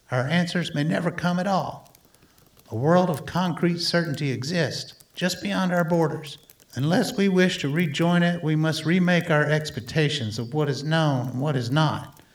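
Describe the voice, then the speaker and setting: An earnest male scientist, acted by a man addresses the public in a radio play. His voice sits a little high for a man, and he speaks formally, deliberately and solemnly, as an orator making a careful plea. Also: lightly acted. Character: earnest male doctor-scientist delivering a measured political plea.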